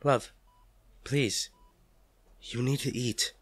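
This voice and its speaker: A male actor plays a devoted, protective partner in an intimate audio roleplay. He has a medium-pitched voice with a tender, reassuring, pleading way of talking to an injured loved one. The sound is dry, with no room around it. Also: steady tone, drawn-out words, a bit bright, no echo. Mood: gentle, coaxing.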